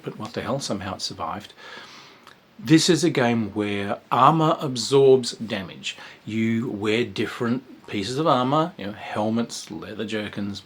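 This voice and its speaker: A man's voice: mid-range voice, breathy edge. A man giving a casual spoken review of a tabletop game, chatty and meandering, with frequent ums and restarts.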